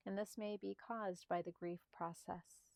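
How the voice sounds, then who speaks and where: A woman guiding a meditation in a soft, slightly breathy voice, speaking calmly and soothingly in short, spaced phrases. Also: medium pitch, normal speed, no room sound, clean studio sound. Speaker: meditation guide. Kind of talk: guided meditation narration.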